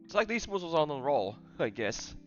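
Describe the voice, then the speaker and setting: Rambling man with very lively pitch. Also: highs cut off, over music. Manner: halting, rambling, often repeats phrases. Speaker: gamer commenting on his own play. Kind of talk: casual gameplay commentary.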